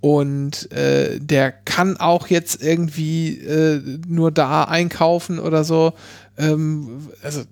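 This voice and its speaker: A man's voice: a little high, fairly even intonation, slow pace, vocal fry, a little mellow, no echo. A man chatting casually, explaining legal points with many fillers and restarts. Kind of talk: casual chat explaining law.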